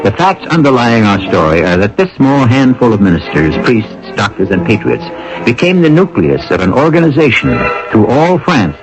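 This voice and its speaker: A man's voice: fairly low pitch, muffled recording. A man hosting and narrating a mystery radio drama in a dramatic, scripted style. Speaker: host and narrator.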